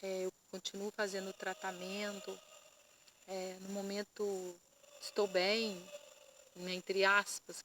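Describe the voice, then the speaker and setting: A woman pastor giving an earnest personal testimony to camera, often pausing and repeating words as she searches for them. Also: mid-range voice, slight background noise, rough recording. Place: indoors.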